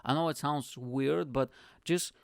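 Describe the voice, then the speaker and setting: A man hosts a casual podcast, telling animated stories and acting out the people in them. His voice sits a little high and carries a gravelly fry, yet stays smooth and resonant. The recording is dry and close. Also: a little slow, dry recording. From Bulgaria. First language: Bulgarian. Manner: animated, laughs often, acts out quoted people. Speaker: podcast host. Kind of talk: casual storytelling monologue.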